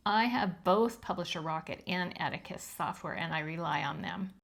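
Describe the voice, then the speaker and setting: A woman over fifty with a high-pitched voice, giving frank, chatty advice on self-publishing with plenty of ums. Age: 50s or older. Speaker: channel host teaching self-publishing. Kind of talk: casual instructional talk to camera.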